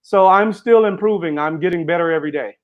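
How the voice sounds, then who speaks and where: High-voiced man, dull lo-fi sound. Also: quick pace. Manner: earnest, runs sentences together with fillers. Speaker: interview guest, author and speaker. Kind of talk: casual interview conversation.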